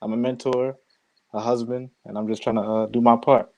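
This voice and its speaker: Fast-talking man with a warm, dark voice. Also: mid-range voice, level tone. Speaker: guest mentor. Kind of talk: casual video call chat. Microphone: video call.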